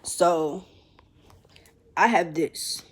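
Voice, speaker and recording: A young woman vlogger who stutters, talking haltingly to her viewers with many pauses and restarts, calling them y'all. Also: lowish voice, husky. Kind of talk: personal vlog, talking to viewers.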